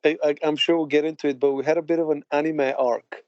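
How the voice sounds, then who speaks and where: A man from Bulgaria in his late thirties, a podcast guest telling his story in a chatty, laughing way with halting restarts. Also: a little high, steady tone, darkish tone, clean tone, dry recording, muffled recording. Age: late 30s. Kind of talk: casual interview chat.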